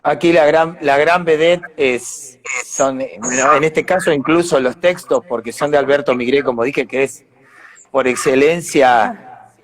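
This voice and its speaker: A man's voice: mid-range voice, a little slow, low-quality recording. An Argentine man, an actor being interviewed, talking casually and reflectively as he explains things and searches for words. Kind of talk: casual live conversation.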